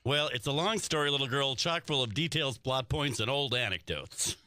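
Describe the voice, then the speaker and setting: A man's voice: mid-range voice, moderate pace, pushed and bright, dry recording, close to an everyday voice. A man playing a blustering, joking husband in a live old-time radio comedy skit. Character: blustering henpecked husband, Fibber McGee. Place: radio studio.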